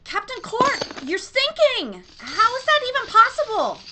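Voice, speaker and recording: Frantic high-pitched space heroine played by a woman. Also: wildly swooping pitch, a little slow, heavy background noise, full character voice. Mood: alarmed. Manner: urgent, exclamatory. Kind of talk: comic sci-fi audio drama acting.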